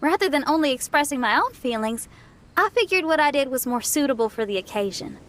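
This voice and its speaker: A woman's voice: high-pitched, lively intonation, a little fast, a bit bright, a little hiss or hum. A woman voicing an upbeat young rock musician in a video game, friendly, breezy and spirited. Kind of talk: video game character voice acting.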